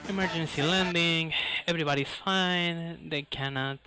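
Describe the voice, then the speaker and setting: A man's voice: fairly high pitch, normal speed, pushed and bright, clean tone, no echo, rough recording. A man giving casual live speedrun commentary in non-native English phrasing, with video game music and effects behind him.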